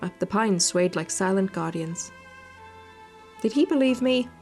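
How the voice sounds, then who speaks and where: A woman's voice: mid-range voice, animated, a little slow, darkish tone. A woman hosting a visual novel playthrough, narrating the text and adding casual commentary. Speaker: gaming video host. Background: game music.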